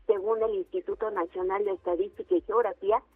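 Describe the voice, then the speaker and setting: A reporter with a Mexican accent reading a formal news report over the phone. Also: female voice, medium pitch, fairly even intonation, quick pace, breathy edge, dry recording. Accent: Mexican Spanish. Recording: tinny phone line, dry.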